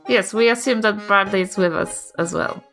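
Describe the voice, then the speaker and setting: A woman's voice: medium pitch, moderate pace, vocal fry, a bit bright, soft background music. A woman, playing a tabletop game with casual, playful banter.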